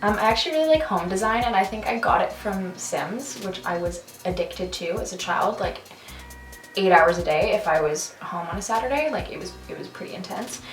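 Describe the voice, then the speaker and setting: A young woman from Canada chatting casually with a friend on a vlog, animated and laughing easily. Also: medium pitch, brisk. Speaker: vlogger. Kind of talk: casual chat. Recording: roomy, from a distance, music behind.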